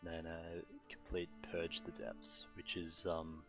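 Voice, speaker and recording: A man streaming a game, commenting in a halting, matter-of-fact way and reading story text aloud. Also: sits low, completely flat delivery, over music.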